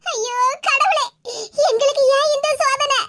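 A woman's voice: extremely high pitch, a little slow, fairly bright tone, a little hiss or hum, voice pushed up. A woman voicing a fretful elderly village grandmother who pleads and wails. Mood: wailing. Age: older.

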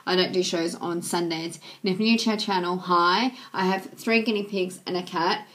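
A young woman with a hoarse voice from a cold chats casually to her viewers. She talks softly, filling pauses with ums. She is close to a webcam in a small room. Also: fairly low pitch, fairly even intonation. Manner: soft, chatty, with many ums. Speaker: YouTuber. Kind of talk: casual vlog chat to camera. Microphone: webcam at close range.

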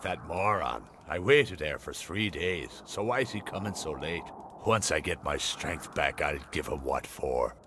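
An actor playing a gruff, scornful pirate captain with a curt, grumbling manner in a video game. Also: male voice, a bass voice, animated, a little slow, sharp tone, slight background noise, heavily acted.

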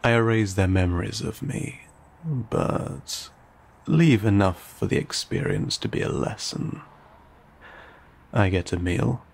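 A man playing an ancient, courteous, guarded vampire in an intimate audio roleplay, speaking in formal, old-fashioned, measured phrases with some hesitation. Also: animated, exaggerated voice. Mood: wry, musing. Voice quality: low, gravelly, breathy.

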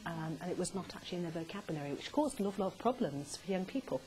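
A woman's voice: lowish voice, lively intonation, racing, a crowd in the background, low-quality recording. A middle-aged woman, interviewing a guest live on stage. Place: theatre stage in an arts centre, before an audience. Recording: live stage recording with faint noise.

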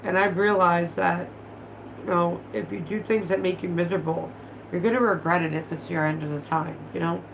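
A woman's voice: lowish voice, fairly even intonation, brisk, gravelly, background noise. Blunt, chatty woman with a stuffy nose. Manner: blunt, chatty, swears freely while working with her hands. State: stuffed up from allergies. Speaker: vlog host. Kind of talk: casual livestream chat. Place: living room at home, indoors.

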